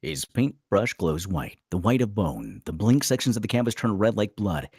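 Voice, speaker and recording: A man's voice: on the low side, sing-song, normal speed, a little mellow, breathy edge, dry recording, studio quality. A man reading screenplay stage directions aloud as a dramatic narrator at a table read.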